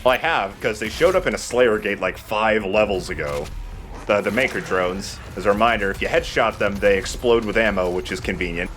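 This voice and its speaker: A man's voice: fairly low pitch, fairly quick, fairly bright tone, a little hiss or hum. A man giving casual, explanatory gameplay commentary in an informal way, with some swearing. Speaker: let's play host and player. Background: game music.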